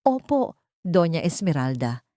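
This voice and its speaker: A woman's voice: deep voice, very animated, measured pace, dark tone, no echo, studio quality. A woman voicing a sly, malicious male driver who spies for his employer, with a furtive, insinuating manner, in an audio story.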